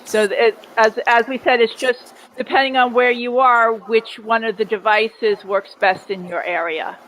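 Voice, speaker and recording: A woman's voice: fairly high pitch, fairly even intonation, a little slow, fairly bright tone, slight background noise, rough recording. A middle-aged woman answering questions in a casual live Q&A, speaking in an earnest, reassuring way. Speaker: guest answering viewer questions.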